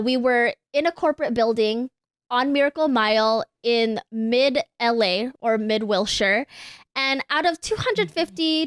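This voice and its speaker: Lively high-voiced woman podcast host. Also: clean tone, no echo. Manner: animated, swears freely, acts out quotes. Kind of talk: casual podcast chat.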